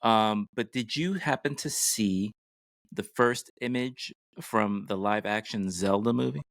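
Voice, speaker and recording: A middle-aged man hosting a podcast in a casual, measured way, pausing between phrases. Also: fairly low pitch, vocal fry, fairly bright tone, no echo, clean studio sound. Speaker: podcast co-host.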